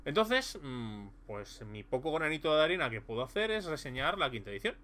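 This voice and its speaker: A man's voice: fairly high pitch, sing-song, brisk, pushed and bright. A man casually reviewing a tabletop role-playing game, rambling with many fillers and restarts. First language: Spanish.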